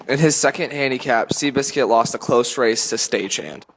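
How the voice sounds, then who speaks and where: A man's voice: mid-range voice, normal speed, noisy background. A man reading scripted story narration as a narrator. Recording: echoey hall reverb.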